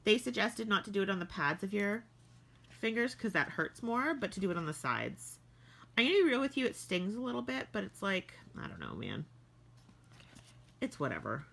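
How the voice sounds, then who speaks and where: A woman's voice: mid-range voice, sing-song, normal speed, gravelly, a bit bright. A congested, sniffly young woman chatting casually and laughing often as she co-hosts a pregnancy vlog. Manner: chatty, laughs often, talks sweetly to pets. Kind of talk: casual chat.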